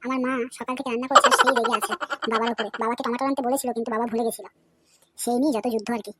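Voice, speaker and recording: A woman's voice: a little high, sing-song, rapid-fire, heavy background noise. A woman voicing a cheeky, foul-mouthed young village man in a cartoon, brash and swearing often. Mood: eager. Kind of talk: cartoon voice acting. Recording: loud background music.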